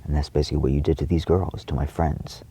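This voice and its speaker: A man giving an impassioned, indignant monologue to viewers, emphatic and repetitive, with a gravelly, husky voice. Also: rumbling low, level tone, fast talker, dark tone. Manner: frequent swearing. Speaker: video creator addressing viewers.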